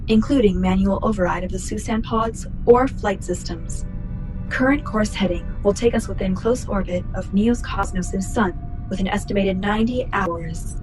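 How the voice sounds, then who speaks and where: Music plays clearly behind a formal female ship's computer acted by a woman. The voice is mid-pitched, measured and precise, an obedient artificial mind in a sci-fi radio drama. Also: close to an everyday voice, poor audio. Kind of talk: sci-fi radio play acting.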